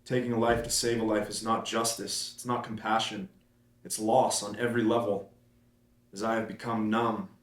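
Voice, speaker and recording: A low male voice from an actor at a table read plays a man gone numb after taking a life. He speaks gravely and flatly, somewhat far from the mic. An echo hangs in the room. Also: normal speed, lightly acted. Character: numb man, Ethan, who killed someone. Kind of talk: screenplay table reading.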